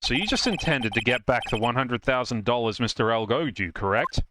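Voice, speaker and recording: A man's voice: mid-range voice, animated, a little fast, background noise, natural voice. A man voicing an earnest, persistent and wry defense lawyer who reasons aloud in a fan dub of a video game. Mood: pressing. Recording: loud music behind the voice.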